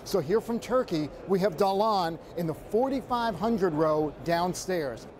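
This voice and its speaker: A man with a fairly high voice gives an upbeat walk-and-talk report from a busy exhibition floor. He sounds conversational and easygoing, and keeps turning to speak straight to the anchor. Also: animated, measured pace, crowd noise. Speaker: trade show floor reporter. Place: trade show exhibition floor.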